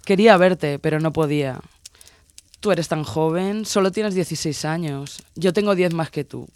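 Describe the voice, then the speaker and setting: Guy acting as a young sailor woman in an audio story, intense n possessive, teasing, voice on the high side. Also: moderate pace, dry recording, clean studio sound, lightly acted. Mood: earnest, intimate. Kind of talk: dramatised audio story dialogue.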